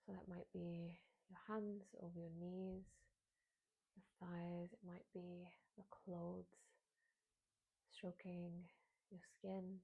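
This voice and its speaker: A woman guiding a beginners' meditation in a soft, breathy voice, with soothing phrasing and frequent pauses. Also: lowish voice, little pitch movement, narrow, tinny audio. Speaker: meditation guide, yoga teacher.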